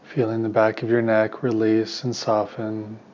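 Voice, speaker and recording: A man leading a guided meditation as a teacher, speaking in a calm, unhurried, soothing way with long pauses. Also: on the low side, lo-fi sound.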